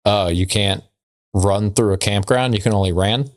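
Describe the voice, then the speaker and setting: Guy with a fairly low voice, podcast co-host, casually bantering and reading stuff aloud. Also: moderate pace.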